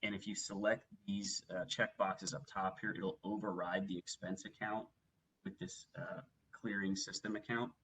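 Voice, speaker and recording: A man working methodically through a software walkthrough as a webinar trainer, explaining each step. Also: fairly low pitch, fairly even intonation, moderate pace, husky, low-quality recording. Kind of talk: instructional software walkthrough, semi-scripted presentation.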